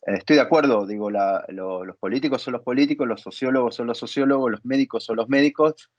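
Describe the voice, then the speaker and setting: A man hosting a reflective conversation, thinking aloud in deliberate, halting phrases. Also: medium pitch, muffled recording. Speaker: interview host, theatre dramaturg.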